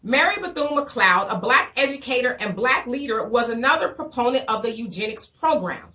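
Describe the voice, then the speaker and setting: Hoarse woman host, high and bright, slightly off-mic, emphatic commentary with rhetorical questions. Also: animated, normal speed, far from the mic. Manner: emphatic, rhetorical questions, reads quotes aloud. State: hoarse, voice coming back. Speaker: show host. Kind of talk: commentary monologue to viewers.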